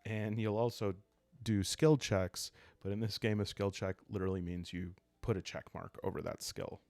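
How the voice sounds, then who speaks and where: A low-voiced man gives a calm, ASMR-style walkthrough of a tabletop game. His voice has a heavy crackle of vocal fry. He speaks in an unhurried, reflective way, pausing as he goes, with music playing behind him. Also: no room sound. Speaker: host of a solo role-playing game channel.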